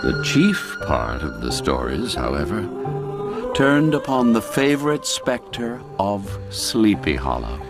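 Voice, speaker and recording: A man reading a classic folk mystery tale as a storyteller, in a measured and expressive way. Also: lowish voice, over music. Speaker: story narrator.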